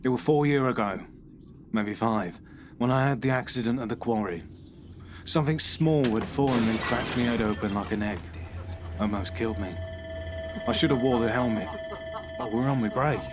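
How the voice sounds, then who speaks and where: Man as a paranoid, bitter suspect in a horror audio drama, mid-pitched, over a hissy noisy track. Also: natural voice. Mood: uneasy. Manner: brooding, halting confession. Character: troubled middle-aged man, paranoid and bitter. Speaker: suspect being questioned.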